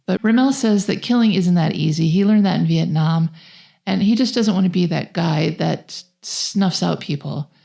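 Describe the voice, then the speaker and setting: Low-pitched, gravelly woman, mellow voice. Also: normal speed. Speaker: podcast co-host. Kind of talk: conversational film plot recap.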